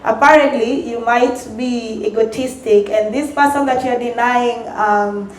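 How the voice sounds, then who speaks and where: Lively Kenyan woman on a panel. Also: on the high side, measured pace. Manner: lively, laughs easily, acts out quotes. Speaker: bartender panelist. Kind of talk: live panel discussion. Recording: echoey hall, faint noise.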